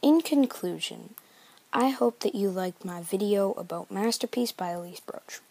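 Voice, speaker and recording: A woman's voice: mid-range voice, unhurried, creaky, mellow, no room sound. A woman reading scripted narration for a homemade book report. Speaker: narrator of a novel study video.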